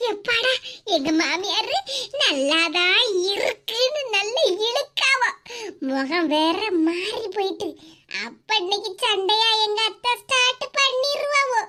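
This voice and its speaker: A woman's voice: very high voice, expressive, slow pace, bright, forceful tone, full character voice. A woman playing a mischievous young wife who schemes in sly asides. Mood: scheming.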